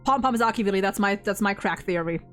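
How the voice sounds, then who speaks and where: A woman talking casually about a game she plays, in an animated, gushing manner and acting out quotes as she goes. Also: medium pitch, fast, soft background music. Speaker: co-host ranking game story quests. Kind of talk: casual gaming chat.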